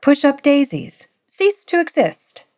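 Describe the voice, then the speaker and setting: A woman's voice: sits high, very animated, normal speed, pitched above the performer's own voice. A woman voicing a cheerful, playful young man in a storybook reading.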